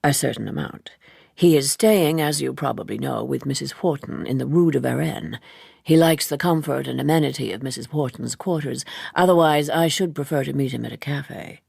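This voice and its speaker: A woman voicing an urbane, faintly bored gentleman in an audiobook, with a languid, dry, fastidious manner. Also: a bass voice, gravelly, breathy edge, no echo, voice pushed down, heavily acted. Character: urbane man, languid, faintly bored, dryly critical letter-like correspondent.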